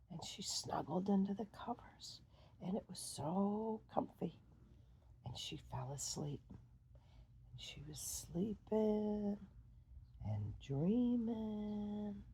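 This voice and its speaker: A woman storyteller improvising a bedtime fairy tale in simple, halting, sing-song phrases. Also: mid-range voice, vocal fry, dark tone, slight background noise.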